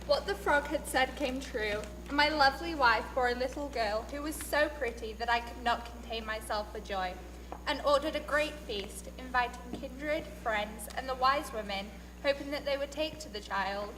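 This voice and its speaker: Commanding stage-storybook monarch, a king, read by a woman, high register, reverberant noisy recording. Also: moderate pace, natural voice. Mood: joyful. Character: doting, commanding king of a fairy tale. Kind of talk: readers theatre stage reading.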